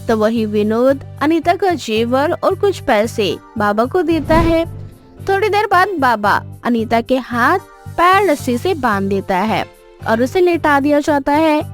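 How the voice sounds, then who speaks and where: A woman's voice: high-pitched, sing-song, a little slow, soft background music. A woman narrating a scripted dramatic moral story as its storyteller.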